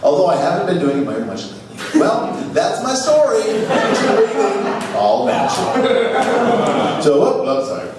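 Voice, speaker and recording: A man hosting a live comedy show, reading aloud for laughs with theatrical, drawn-out emphasis. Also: high-pitched, huge pitch swings, crowd noise. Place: small theater stage. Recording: echoey, noisy live recording from far off.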